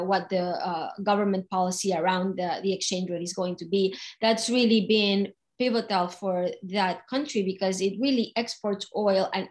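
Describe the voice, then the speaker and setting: A woman in her forties, from Venezuela, answering interview questions slowly and thoughtfully on a video call from a room at home. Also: medium pitch. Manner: slow, thoughtful, with fillers. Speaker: guest, crypto fund founder. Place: home room with window, indoors.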